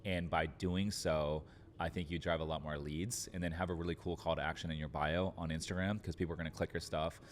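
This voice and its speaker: A man's voice: low voice, fairly even intonation, fairly quick, a little hiss or hum. A man giving conversational business advice as an entrepreneur guest on a podcast, informal and sprinkled with filler words. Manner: informal, frequent fillers like "like" and "literally".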